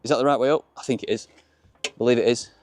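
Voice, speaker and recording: A man's voice: mid-range voice, steady tone, rapid-fire, darkish tone. Yorkshire man chatting over noisy car ambience. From Yorkshire, England. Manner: chatty, colloquial British slang. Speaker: car review presenter. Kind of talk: casual car vlog commentary. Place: in and around a small open-top car outdoors.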